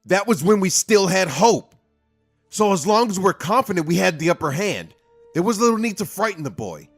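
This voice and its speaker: A man playing a hot-headed, impulsive fairy guardian in a fan audio drama, arguing forcefully and emphatically. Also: sits high, sing-song, moderate pace, soft background music, heavily acted. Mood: urgent.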